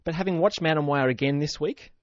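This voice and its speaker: A man's voice: on the high side, fast talker, no room sound, lo-fi sound. A man with an Australian accent reviewing films conversationally as a radio guest, thoughtful and a little hesitant. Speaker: film critic guest on radio. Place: radio studio. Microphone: close studio microphone.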